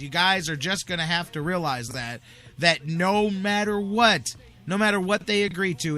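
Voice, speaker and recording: A male sports talk host with a bright, punchy voice pitched a little high. He talks in an emphatic, insistent way, driving opinions home by repeating them.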